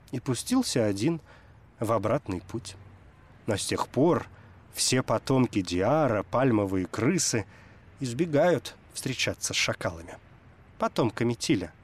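A male radio presenter with a medium-pitched voice. He speaks about books and tells tales expressively, as a storyteller would. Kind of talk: expressive storytelling and literary talk.

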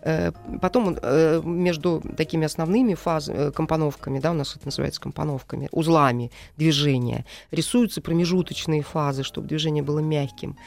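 A woman's voice: low voice, brisk, gravelly, hardly any pauses, a little mellow, soft background music. A woman speaking as an interview guest, explaining her craft in an emphatic storytelling way. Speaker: animation film director, studio guest.

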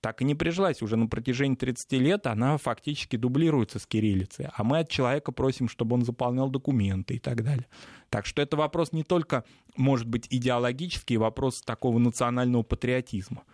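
A man speaking in a studio discussion, measured and emphatic, his pitch rising on key points. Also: a little mellow, no room sound.

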